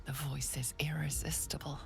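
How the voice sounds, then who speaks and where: A woman narrating a dark fantasy video game in a solemn, hushed, breathy manner. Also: low voice, flat delivery, moderate pace, music in the background. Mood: ominous. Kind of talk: scripted game narration in second person.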